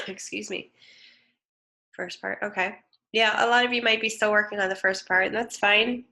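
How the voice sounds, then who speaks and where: A woman's voice: mid-range voice, animated, measured pace, sharp tone. A woman teaching an online design lecture while sick with a head cold, sounding nasal and sniffly, chatting casually with fillers and restarts. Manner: casual, chatty, with frequent fillers and self-corrections. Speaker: design instructor. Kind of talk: live online lecture with screen demo.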